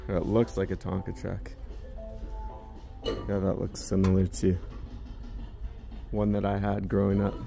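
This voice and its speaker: A man's voice: low voice, monotone, measured pace, creaky, pauses often, over music. A man, chatting casually as he walks a department store for a phone-filmed tour. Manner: musing, chatty remarks to himself. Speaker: vlogger giving a store walking tour. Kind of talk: casual walking-tour commentary. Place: large indoor department store. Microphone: handheld phone camera while walking.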